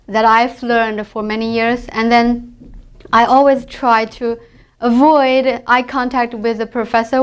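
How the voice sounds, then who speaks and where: A woman with a smooth, clear, rather high voice tells her own story in halting English. She often hesitates and restarts her sentences as she looks for words. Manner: hesitant, many fillers and restarts. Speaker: former graduate student. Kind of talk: personal testimony.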